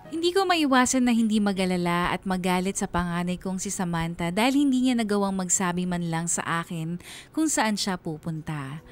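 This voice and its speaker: A woman's voice: on the low side, expressive, normal speed. A woman with a Filipino accent reading scripted first-person narration for a radio drama. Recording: music behind the narration.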